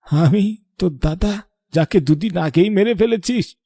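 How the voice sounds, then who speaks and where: A man's voice: sits high, measured pace, warm tone, breathy edge, no room sound, studio quality, heavily acted. A man playing an indignant old grandfather in a Bengali horror cartoon, scolding and reproachful. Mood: vengeful. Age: older. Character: indignant old grandfather, murdered, returns as vengeful ghost.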